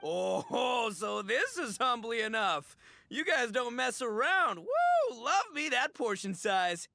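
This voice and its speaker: A cocky, exuberant young-sounding game gang boss. The voice rides high for a male, bright and forceful, leaping all over in pitch as he brags. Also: huge pitch swings, slow, vocal fry, no echo, full character voice. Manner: bragging, slangy, exuberant. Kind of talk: English video game voice acting.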